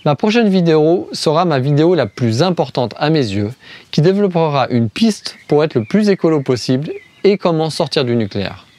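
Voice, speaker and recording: A man's voice: fairly high pitch, big pitch swings, moderate pace, a little mellow. A man from France hosting a scripted explainer video, arguing step by step with rhetorical questions and ironic asides. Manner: poses questions then answers them. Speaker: video host.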